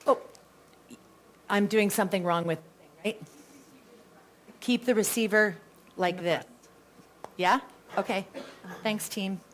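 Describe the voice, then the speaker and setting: A woman's voice: medium pitch, fairly even intonation, slow, pauses often, a little hiss or hum. Chatty Canadian woman moderating a debate. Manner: warm, chatty, self-deprecating asides; says she has a really big voice. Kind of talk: live event moderation.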